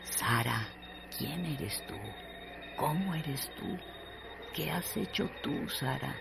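A man narrating a literary radio drama in a deliberate, dramatic way. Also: on the high side, animated, creaky, background noise.

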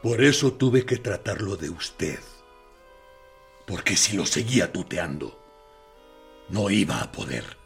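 An actor in a radio drama playing a tormented torturer captain, confessing with anguish and swinging from hushed to loud. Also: male voice, low voice, animated, takes it slowly, creaky, pauses often, faint music behind, full character voice. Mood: anguished confession.

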